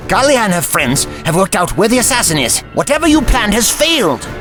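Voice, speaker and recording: A man's voice: high voice, very animated, fairly quick, over music. A man playing an indignant, urgent time-travelling alien hero in an audio drama, with an earnest, exclamatory manner. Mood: commanding, urgent.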